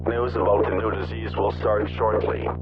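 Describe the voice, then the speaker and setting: A man playing a serious radio announcer, reading scripted news and emergency warnings in a formal, measured way. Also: lowish voice, steady tone, music in the background, lightly acted. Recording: muffled radio with clear humming noise.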